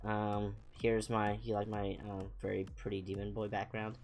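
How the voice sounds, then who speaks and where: A man's voice: lowish voice, little pitch movement, moderate pace, darkish tone, faint music behind. A young man chatting casually as a livestream host, rambling informally with a self-deprecating manner. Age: young adult. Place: small home room, indoors.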